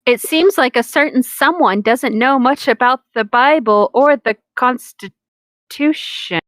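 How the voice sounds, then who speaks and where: Female comedy podcast co-host, higher voice, dry close sound, sarcastic and exasperated, sweary. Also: measured pace, a bit bright, no echo. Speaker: podcast co-host reading fan fiction aloud. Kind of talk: casual comedic commentary and read-aloud.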